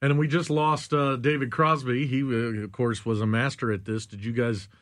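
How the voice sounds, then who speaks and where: A man's voice: medium pitch, level tone, moderate pace, a bit bright, no echo, clean studio sound. An American man co-hosting a radio show with casual, informal interview banter. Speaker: radio co-host.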